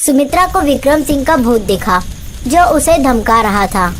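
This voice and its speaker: A woman's voice: high-pitched, expressive, moderate pace, a little hiss or hum. A woman reading scripted narration for a horror story, as the narrator.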